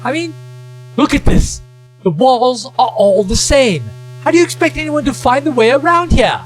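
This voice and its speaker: A man's voice: very high-pitched, animated, moderate pace, rough recording. A man playing a wry, wordy young companion in an audio drama, prone to sarcasm and self-pity. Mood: exasperated, self-pitying. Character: sarcastic, self-pitying young male companion, amateur psychoanalyst.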